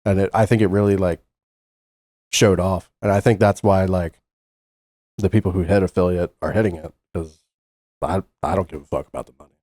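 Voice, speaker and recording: A man's voice: sits low, fairly even intonation, a little fast, long pauses, darkish tone, dry recording. A man co-hosting a podcast, speaking bluntly and swearing casually.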